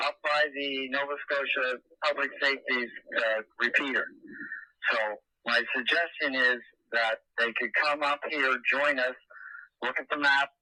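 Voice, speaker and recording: A man's voice: medium pitch, pushed and bright, dry recording, rough recording. A man coordinating a drone search team over the radio, direct and businesslike. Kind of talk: radio dispatch call.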